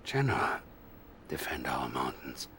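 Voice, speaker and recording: An actor playing a sinister, scheming psychic advisor in a game cutscene, speaking softly and menacingly, often close to a whisper. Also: male voice, rumbling low, sing-song, measured pace, a little hiss or hum, full character voice. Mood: sinister hush. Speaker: Soviet military advisor. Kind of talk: video game cutscene acting.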